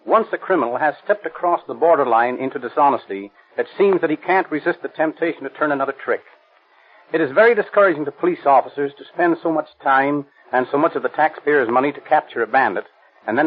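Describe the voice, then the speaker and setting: A man's voice: medium pitch, fast talker, natural voice. A man playing a police captain in a 1930s radio program, giving a grave, lecturing talk. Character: stern middle-aged male police captain. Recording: tinny lo-fi old radio recording.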